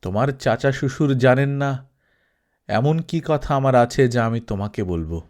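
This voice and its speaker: A man voicing an elderly male Sufi saint who speaks gently and patiently, like a teacher. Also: mid-range voice, lively intonation, measured pace, natural voice. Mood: gentle.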